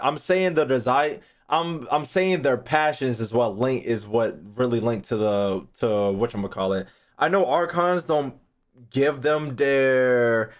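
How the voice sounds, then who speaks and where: Rambling, foul-mouthed male streamer thinking aloud. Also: medium pitch. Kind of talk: casual livestream chat, thinking aloud.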